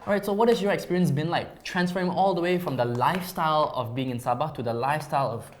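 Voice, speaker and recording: A woman's voice: low voice, fast talker. A young woman, herself a student, hosting a live chat in a bubbly way, laughing easily and stumbling over repeated words. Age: young adult. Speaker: host.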